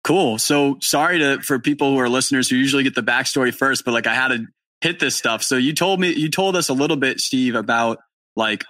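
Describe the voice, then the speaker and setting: Man, young adult, podcast host, casual chat, bright tone, dry close sound, excitable, swears freely. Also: medium pitch, brisk, no room sound. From New England. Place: barn studio in rural Maine.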